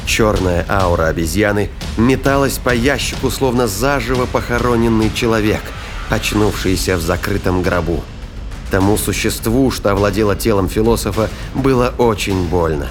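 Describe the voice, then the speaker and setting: A man's voice: lowish voice, moderate pace. A man narrating a science fiction audio drama in a scripted, dramatic storytelling style. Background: prominent music bed.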